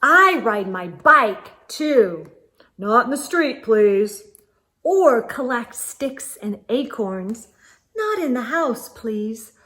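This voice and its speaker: A young woman reads a children's picture book aloud at an unhurried pace. Her voice sits a little high and swings widely in pitch, gentle and expressive with soft asides to the little ones listening. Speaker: children's storyteller.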